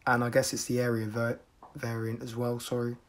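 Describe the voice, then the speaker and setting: A man from the UK narrating a casual product review in a measured, deadpan manner. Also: mid-range voice. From United Kingdom.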